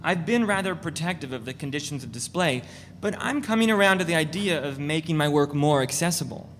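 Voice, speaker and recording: A woman's voice: animated, brisk. Woman acting a pushy, earnest male artist, echoey hall. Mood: eager, insistent. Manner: pushy, earnest, stammering sales pitch. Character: self-promoting male artist, eager and oblivious pitchman. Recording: echoey hall, noticeable noise.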